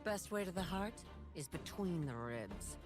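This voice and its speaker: Low-voiced, dangerous, flirty woman. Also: expressive, unhurried. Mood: cool menace. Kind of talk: video game character voice lines. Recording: game audio under music.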